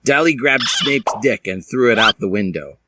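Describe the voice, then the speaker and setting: A man's voice: mid-range voice, lively intonation, normal speed, heavy background noise. A man reading fan fiction aloud as a dramatic, comic storyteller with a theatrical manner. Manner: theatrical, puts on character voices.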